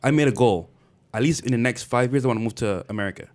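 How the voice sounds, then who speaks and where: A man from Barbados telling his life story as a podcast guest, in relaxed, chatty talk. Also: mid-range voice, fast. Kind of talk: casual interview talk.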